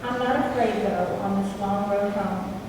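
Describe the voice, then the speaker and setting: Soft-spoken woman reading a remembrance, gentle delivery, distant mic, long hall echo. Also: medium pitch, steady tone, a little slow. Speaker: fellowship member. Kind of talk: reading a personal remembrance aloud. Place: large meeting hall indoors. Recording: echoey hall, far from mic, background noise.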